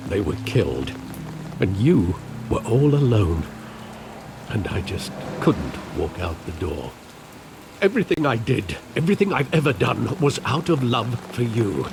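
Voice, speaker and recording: An actor playing a loyal, weary older butler and guardian in a video game, speaking in a measured, earnest, formal way. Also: male voice, medium pitch, background noise. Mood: remorseful, tender. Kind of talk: dramatic video game acting.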